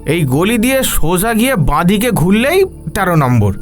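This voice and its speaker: A man acts out a village rickshaw puller in a horror audio drama. His voice sits high and moves a lot, with rustic, deferential phrasing and an uneasy edge. Faint music plays underneath. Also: sing-song, moderate pace. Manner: colloquial rustic speech, addresses listener respectfully. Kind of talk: audio horror story acting.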